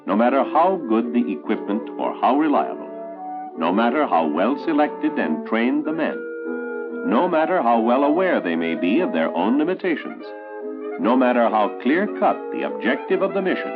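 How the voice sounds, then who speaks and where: An American man narrating a 1960s instructional film in an authoritative, emphatic, measured oratorical way. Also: medium pitch, sing-song. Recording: tinny band-limited 1960s film soundtrack, loud music. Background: loud musical score.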